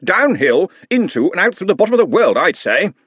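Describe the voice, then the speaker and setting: A man's voice: high voice, big pitch swings, fairly quick, fairly bright tone, breathy edge, no room sound, voice pushed up, heavily acted. A man voicing an older, bossy wizard headmaster who speaks bluntly and self-assuredly. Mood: blustering.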